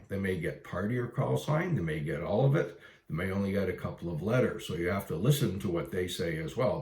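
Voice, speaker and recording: A Canadian man with a low voice explains things casually to beginners. He is plain-spoken and instructive. The room adds noticeable echo to his speech. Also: darkish tone. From Ontario, Canada. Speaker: amateur radio video presenter. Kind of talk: casual explainer for new hobbyists.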